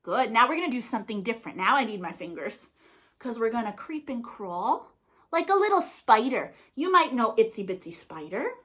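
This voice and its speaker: A woman's voice: fairly high pitch, very animated, moderate pace, fairly bright tone. A woman hosting an interactive storytime for young children, encouraging and playful, often asking her listeners questions. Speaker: children's storytime host and librarian. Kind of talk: interactive read-aloud and singalong for young children.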